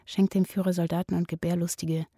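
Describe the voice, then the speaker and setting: Teasing condescending woman, very dark mellow tone, lowish pitch, intimate mockery, radio drama. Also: flat delivery, fairly quick, husky, dry recording, studio quality. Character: woman teasing a doctor with condescending pet names.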